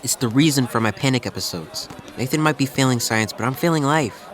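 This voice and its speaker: A man's voice: mid-range voice, a little fast, fairly bright tone, close to an everyday voice. Nerdy, anxious teen boy, noisy background man acting. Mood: glum, anxious. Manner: geeky, melodramatic. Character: nerdy, anxious, self-pitying teenage boy, new kid. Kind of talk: audio drama acting. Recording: clear outdoor background noise.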